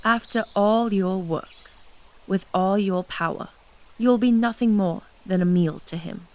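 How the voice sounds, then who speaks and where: A woman's voice: mid-range voice, measured pace, a little mellow, close to an everyday voice. A woman voicing an ancient, seductive and domineering blood-drinking noblewoman in a fantasy audio drama. She speaks in a silky, confident, commanding way. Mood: scheming. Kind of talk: full cast audio drama acting.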